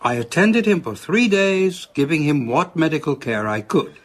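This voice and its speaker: An actor playing an earnest, proud doctor in a radio drama, speaking formally and emphatically. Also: male voice, a little high, very animated, normal speed. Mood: solemn recounting. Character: earnest foreign male doctor, proud of his reputation.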